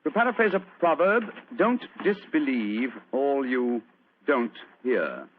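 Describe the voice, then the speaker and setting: An actor playing a shrewd, composed gentleman detective in a radio drama, with a British accent and a precise, dryly witty way of talking. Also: mid-range voice, big pitch swings, slow pace. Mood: wry. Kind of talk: old-time radio drama acting. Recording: noisy, phone-like old radio recording.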